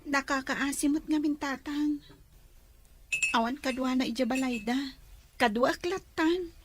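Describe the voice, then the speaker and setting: A woman's voice: high-pitched, measured pace, music in the background. A woman playing a timid, anxious, dutiful young daughter in a radio drama. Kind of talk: Ilocano radio drama acting.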